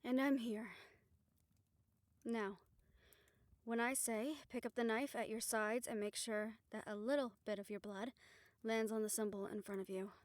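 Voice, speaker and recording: A woman playing a calm, reassuring witch who leads a ritual in an audio roleplay, steady and encouraging as she gives instructions. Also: fairly high pitch, measured pace, dry recording, natural voice. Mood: gently commanding. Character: calm, reassuring female witch leading a soul-bond ritual.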